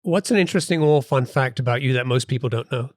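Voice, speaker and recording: A male podcast host runs through a quick-fire round of questions in a friendly, brisk manner. His mid-range voice sounds dry and close, with almost no room echo. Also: mid-range voice. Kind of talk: podcast interview hosting.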